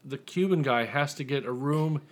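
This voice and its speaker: A man's voice: medium pitch, moderate pace. A man chatting casually as an author guest in an interview, telling his story in a wry, dry way.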